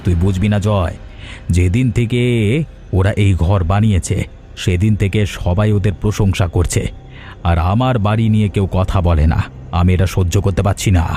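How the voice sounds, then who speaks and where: A man's voice: sits low, fairly even intonation, moderate pace, mellow, soft background music, natural voice. A man playing a jealous, spiteful village schemer in a moral-story cartoon, speaking with resentment. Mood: jealous, bitter.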